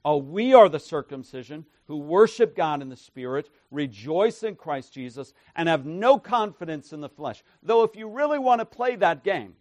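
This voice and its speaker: Preacher guy going through a bible passage, keeps asking "right?", gets loud then drops quiet, kinda high voice. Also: dry recording. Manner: emphatic, tags points with "right?"; loudness swings from soft to forceful. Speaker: pastor. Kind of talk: expository sermon.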